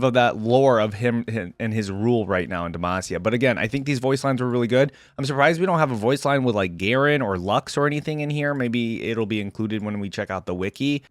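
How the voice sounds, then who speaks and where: Reaction host: man with a lowish voice, dry close sound, chatty commentary with faint music behind. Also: dry recording. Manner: informal, conversational, thinking aloud. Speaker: host of game reaction videos.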